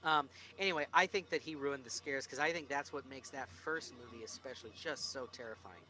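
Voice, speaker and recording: A man's voice: mid-range voice, a little fast, pushed and bright. A man hosting a casual film discussion, speaking in an emphatic, opinionated way and swearing freely. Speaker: podcast host.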